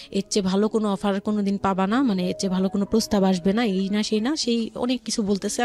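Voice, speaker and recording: A woman's voice: medium pitch, a little mellow. A woman with a Bangladeshi accent telling her personal story conversationally as a guest, often voicing what relatives said. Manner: quotes relatives' words as she narrates.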